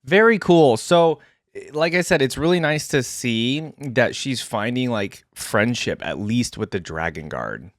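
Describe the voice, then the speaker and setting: A man's voice: mid-range voice, lively intonation, measured pace, no room sound, clean studio sound. A man giving casual reaction commentary, musing and repeating himself as he goes. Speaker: reaction video host.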